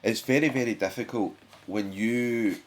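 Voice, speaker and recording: A British man with a sore throat, his voice sitting very low. He talks casually and haltingly to his viewers, with frequent fillers and self-corrections. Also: background noise. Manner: chatty, halting, many fillers and restarts. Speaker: YouTube channel host. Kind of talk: casual talk to camera.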